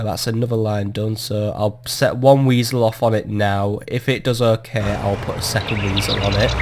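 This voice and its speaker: A man giving casual, self-mocking commentary while playing a management game, his voice still recovering from a cold. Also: on the low side. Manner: chatty, self-mocking, swears when annoyed. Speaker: gameplay commentator. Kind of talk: casual let's-play commentary. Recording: audible background noise.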